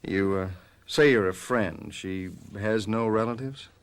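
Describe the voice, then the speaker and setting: An actor in a radio drama playing a businesslike, tactful police lieutenant with a measured, hesitant official manner. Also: male voice, fairly low pitch, clear, resonant tone, natural voice. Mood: accommodating. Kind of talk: golden-age style radio drama acting.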